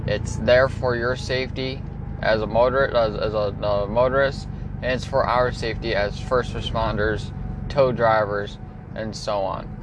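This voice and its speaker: Stuttering man over loud traffic. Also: fairly low pitch, fairly even intonation, unhurried. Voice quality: stutters, frequent repetitions and restarts. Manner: earnest, pleading safety appeal. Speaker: tow truck driver. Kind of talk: casual vlog talk to camera. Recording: loud roadside traffic noise.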